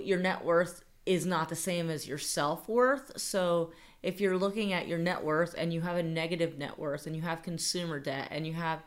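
A young adult woman co-hosting a casual podcast, chatty and upbeat, laughing easily between points. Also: on the low side. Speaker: podcast co-host.

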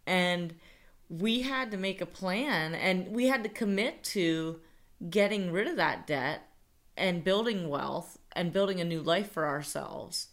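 A woman's voice: on the low side, lively intonation, unhurried. Chatty, upbeat woman podcast host. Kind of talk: casual podcast chat.

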